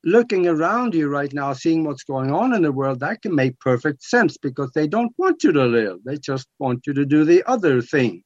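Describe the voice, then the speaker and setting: A man's voice: fairly high pitch, lively intonation, normal speed, few pauses, a little mellow, no echo. A man in his seventies from Norway with a Norwegian accent, explaining things emphatically as a talk radio guest. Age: 70s. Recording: dull lo-fi talk radio audio.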